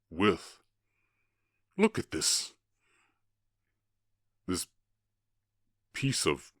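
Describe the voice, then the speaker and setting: Half-orc cowboy, male actor: rock-bottom pitch, close dry sound, tender and ashamed, faltering into murmurs, ASMR drama. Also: fairly bright tone, no echo, full character voice. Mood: agitated, ashamed. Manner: halting, emotional, often dropping to breathy murmurs. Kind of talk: ASMR roleplay voice acting.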